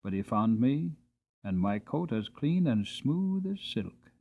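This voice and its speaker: Animated man reading a storybook. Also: medium pitch, takes it slowly, creaky, slightly rough. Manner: expressive, theatrical emphasis. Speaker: audiobook narrator. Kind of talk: dramatic storybook narration.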